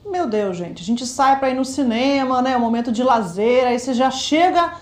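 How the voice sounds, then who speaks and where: A woman's voice: sits high, animated, moderate pace, a little mellow. A Brazilian woman casually giving her opinion in conversational speech. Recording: roomy, echoing sound.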